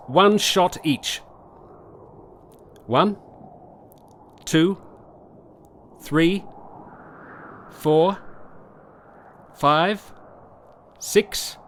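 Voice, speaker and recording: Slow, loud, distraught gentleman doctor played by an actor. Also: high voice, heavily acted. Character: distraught male doctor, reluctant witness at a pistol duel. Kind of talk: radio play acting.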